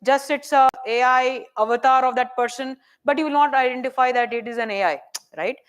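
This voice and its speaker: A soft-spoken woman with an Indian English accent, teaching a hands-on AI workshop and checking in with the room often. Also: sits high, a little fast, soft background music. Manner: chatty, checks in often with "right?" and "okay?". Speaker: AI workshop presenter.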